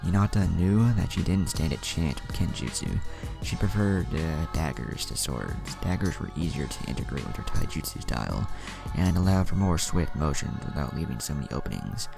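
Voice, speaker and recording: A man's voice: very deep voice, expressive, normal speed, creaky. A man narrating a fan fiction story, reading it aloud with background music behind him.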